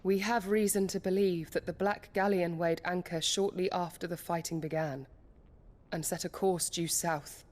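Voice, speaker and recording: An actress playing a devoted, disciplined female knight in a fantasy game, formal, deferential and measured. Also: fairly low pitch, steady tone, darkish tone, lightly acted. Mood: grave report. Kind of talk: dramatic fantasy game acting.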